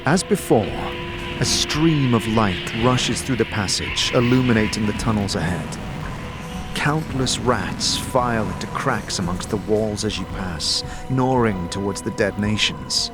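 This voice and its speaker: A man giving scripted fantasy narration in a dramatic, measured storytelling manner. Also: fairly low pitch, expressive. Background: music.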